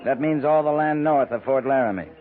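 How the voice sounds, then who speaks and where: Actor as a terse, stern cavalry captain. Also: male voice, mid-range voice, fairly quick, narrow, tinny audio, close to an everyday voice. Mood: stern disapproval. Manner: terse, clipped, dryly challenging. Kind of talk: 1950s radio western acting. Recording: thin, band-limited 1950s radio recording.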